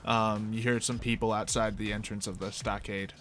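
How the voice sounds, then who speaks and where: A man's voice: fairly low pitch, flat delivery, moderate pace, fairly bright tone. A man casually narrating a tabletop roleplaying game as game master. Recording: faint music under the voice.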